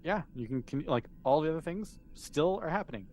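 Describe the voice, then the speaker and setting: A man's voice: fairly high pitch, lively intonation, fairly quick, a little mellow, slight background noise. A man running a tabletop game as game master, narrating casually and improvising as he talks. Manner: conversational, improvising as they go.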